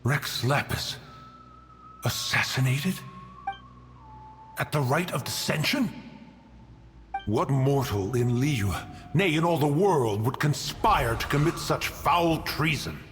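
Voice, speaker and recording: A man's voice: mid-range voice, animated, slow pace, pauses often, a bit bright. A man voicing a haughty, ancient guardian immortal in a video game, speaking in a solemn, archaic, formal way. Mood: indignant, grave. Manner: stately, archaic, formal, refers to self as "one". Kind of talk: scripted video game dialogue. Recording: long reverb with music behind.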